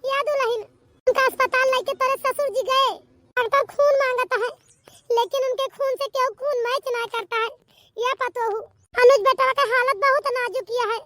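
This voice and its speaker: A woman's voice: extremely high pitch, steady tone, moderate pace, fairly bright tone, recorded from a distance, slight background noise. A woman voicing a panicked village mother-in-law with an Awadhi accent in a melodramatic cartoon, urgent and wailing. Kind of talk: melodramatic cartoon comedy voice acting.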